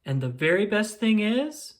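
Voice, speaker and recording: An expressive reader narrates a children's storybook at a middling pitch. The intonation is very animated, and key phrases are drawn out deliberately. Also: a little hiss or hum. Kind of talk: storybook read-aloud, first-person child narrator.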